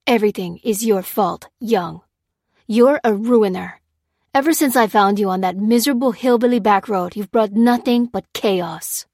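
A woman's voice: medium pitch, a little fast, dry recording, clean studio sound, natural voice. A woman voicing a bitter, hardened male soldier who growls and rants accusations. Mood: angry ranting. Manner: growling, ranting, hurling blame. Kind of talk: audiobook character reading.

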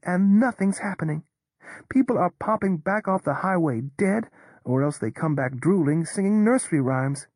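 A woman's voice: on the low side, normal speed, no echo, studio quality. A woman playing a cynical Texas drawler. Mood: sardonic. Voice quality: drawling, flat neutral tone. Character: cynical drawling woman, world-weary, blunt. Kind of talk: audio drama performance.

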